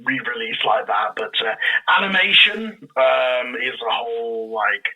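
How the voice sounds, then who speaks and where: A man's voice: mid-range voice, unhurried, phone-quality sound. A man speaking as a podcast guest and audio drama creator, chatting casually and rambling through his explanations. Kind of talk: casual interview chat.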